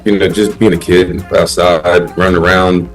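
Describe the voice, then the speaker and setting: Laid-back athlete guest in his thirties, very low voice, relaxed rambling chat over faint music. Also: moderate pace. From Haiti. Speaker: basketball player guest. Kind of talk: casual interview chat.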